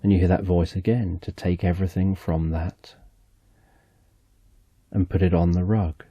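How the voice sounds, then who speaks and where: A man narrating a slow, soothing guided relaxation story in the second person, speaking softly and gently. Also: sits low, steady tone, slightly rough, no room sound, highs cut off.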